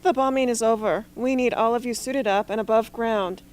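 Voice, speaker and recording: A woman playing a brisk military leader in an amateur radio drama, formal and authoritative. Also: fairly high pitch, a little mellow, a little hiss or hum, close to an everyday voice. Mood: commanding.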